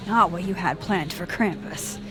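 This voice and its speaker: A woman playing a wry young woman with a quiet mischievous streak in a video game, speaking in a dry, understated, teasing way. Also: fairly low pitch, moderate pace, faint music behind. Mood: friendly, probing. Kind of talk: naturalistic video game acting.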